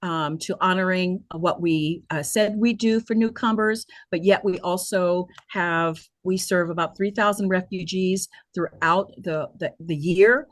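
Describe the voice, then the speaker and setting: Woman, webinar host, lower register, earnest and halting, very dry close sound. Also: no echo. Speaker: nonprofit leader hosting a virtual town hall. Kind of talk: semi-prepared webinar address.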